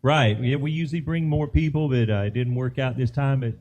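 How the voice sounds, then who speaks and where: A man's voice: medium pitch, fairly even intonation, a little fast, a little mellow. A middle-aged or older man, a musician guest, chatting casually in a folksy, easygoing way in an interview.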